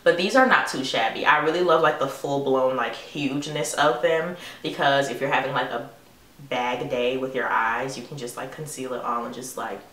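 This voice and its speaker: A woman's voice: extremely low pitch, moderate pace, a bit bright, roomy sound, recorded from a distance. A young woman in her twenties chatting playfully to camera about sunglasses she was sent, rambling and calling viewers honey. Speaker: beauty vlogger. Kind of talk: casual haul vlog talking to camera. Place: bedroom vanity with lighted mirror, indoors.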